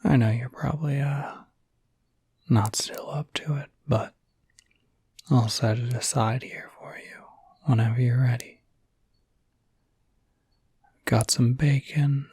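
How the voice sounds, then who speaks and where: A man playing a gentle, easygoing werewolf caretaker in an ASMR roleplay, whispering softly and soothingly in a halting, intimate way. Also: mid-range voice, steady tone, dry recording, heavily acted. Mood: caring, reassuring. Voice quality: breathy, soft whisper.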